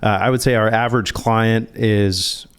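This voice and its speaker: A man's voice: on the low side, steady tone, moderate pace, clean tone. A man speaking as a financial advisor in a conversational interview, explaining step by step and posing rhetorical questions.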